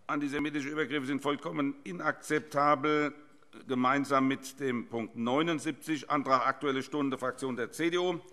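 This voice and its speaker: A man's voice: medium pitch, fairly even intonation, normal speed, pushed and bright. A man in his sixties presiding over a parliament session, formally reading out agenda items at a desk microphone. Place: large indoor parliament chamber. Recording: reverberant large hall.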